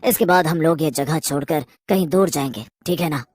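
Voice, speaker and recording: A woman's voice: low-pitched, fairly quick, a little mellow, no echo, clean studio sound. A woman voicing a greedy, guilty man turned reluctant, grumbling ghost in a horror cartoon story. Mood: worried, reluctant. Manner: nervous, protesting, then grudgingly obedient.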